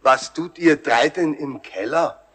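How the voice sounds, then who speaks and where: An actor playing a stern, officious building manager in a children's radio play. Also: male voice, on the high side, measured pace, fairly bright tone, breathy edge.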